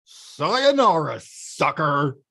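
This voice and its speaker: A woman acts out a big, clumsy cartoon game villain, a blustering guy who is more hapless than scary. The pitch swings widely and the delivery is slow, with halting bursts of exclamation. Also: very animated, gravelly, bright, forceful tone, exaggerated voice. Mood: gloating, uneasy. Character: big clumsy male video-game bad guy, gruff but hapless. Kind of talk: animated film script table read.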